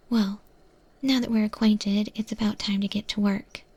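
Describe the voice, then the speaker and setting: A woman voicing a wry, easygoing eldritch monster chef in a breathy, whispered ASMR roleplay, speaking with hesitant, thoughtful pauses. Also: medium pitch, fairly even intonation, darkish tone. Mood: businesslike, reassuring. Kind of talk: ASMR roleplay, softly spoken to the listener.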